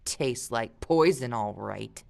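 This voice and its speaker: A woman's voice: extremely low pitch, lively intonation, slow, creaky, a little mellow, pitched below the performer's own voice, exaggerated voice. A woman reading aloud as a mocking teenage older brother, with exaggerated, teasing delivery. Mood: taunting, melodramatic.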